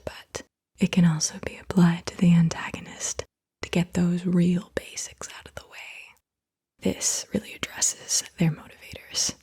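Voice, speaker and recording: Whispering, breathy woman ASMR host. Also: on the low side. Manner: soft-spoken, deliberate questioning.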